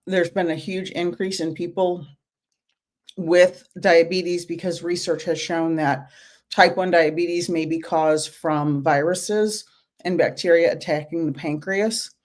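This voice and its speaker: A middle-aged woman hosting a casual live-stream book club, her voice hoarse from teaching, greeting viewers by name as she talks. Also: fairly low pitch, darkish tone.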